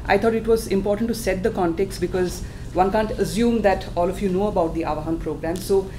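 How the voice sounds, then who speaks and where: A soft-spoken woman giving a conference presentation as a panelist in a meeting room. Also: mid-range voice, steady tone, fairly quick, a little hiss or hum.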